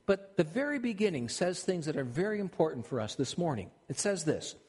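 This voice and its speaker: A man's voice: fairly high pitch, sing-song, a little fast, a little mellow, breathy edge. An older man from Minnesota with a Minnesotan accent, preaching a sermon in a conversational way with frequent restarts. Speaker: pastor. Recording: long hall reverb.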